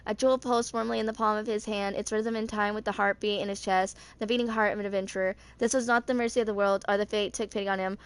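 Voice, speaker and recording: A woman in her twenties reading character lore aloud, haltingly, stumbling over words now and then. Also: medium pitch, flat delivery, smooth. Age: 20s. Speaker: video creator.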